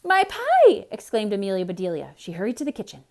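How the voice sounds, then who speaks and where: A woman storyteller with a mid-pitched, highly animated voice. She reads theatrically for children and speaks to them directly, explaining as she goes. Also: racing, gravelly. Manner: expressive, addressing young listeners directly. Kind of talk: theatrical read-aloud for children with explanatory asides.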